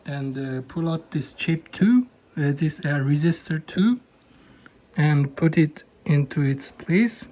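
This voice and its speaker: Brief: young man, Kazakh native, hesitant non-native English, unhurried and slightly high. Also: lively intonation, mellow. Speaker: hobbyist electronics presenter. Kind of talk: hands-on electronics demo.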